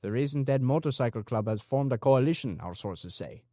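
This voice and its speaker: A man's voice: medium pitch, lively intonation, brisk, mellow, dry recording, studio quality, pitched above the performer's own voice, natural voice. A man voicing a deferential male cartel messenger in an audiobook, reporting urgently to his boss. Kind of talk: audiobook character dialogue.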